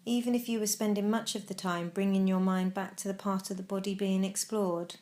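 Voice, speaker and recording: A woman narrating a guided mindfulness meditation, giving gentle, measured instructions with pauses. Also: medium pitch, steady tone.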